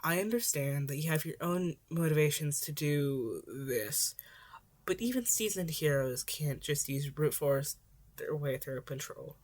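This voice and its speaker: Stern adult male hero, voiced by a female reader, lowish register, flat deadpan delivery, audiobook character work. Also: a little slow. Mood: blunt, lecturing. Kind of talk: audiobook-style fan fiction character acting.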